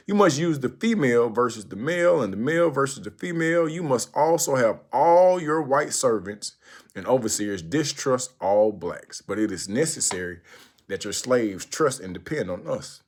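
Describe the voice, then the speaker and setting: A man reciting a written speech aloud in a deliberate, oratorical manner, with emphatic phrasing and pauses. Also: medium pitch. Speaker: narrator reading a historical speech aloud.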